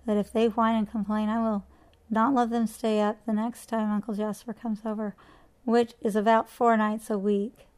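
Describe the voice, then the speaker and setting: A woman's voice: a little high, level tone, normal speed, darkish tone, clear, resonant tone, natural voice. A woman voicing a prim, talkative neighbor mother on the telephone.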